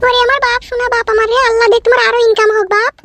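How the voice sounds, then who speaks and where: A woman's voice: very high voice, flat delivery, rapid-fire, fairly bright tone, no echo. A woman voicing a middle-aged, scheming village mother-in-law in a comedy cartoon, fawning and folksy, with a Bengali accent. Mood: prying, delighted. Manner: fawning, folksy, repetitive endearments. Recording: music behind the voice.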